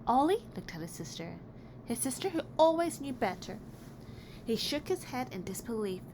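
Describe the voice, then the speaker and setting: A woman reading a children's picture book aloud in an expressive, deliberate storytelling way. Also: fairly high pitch. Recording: clearly noisy background.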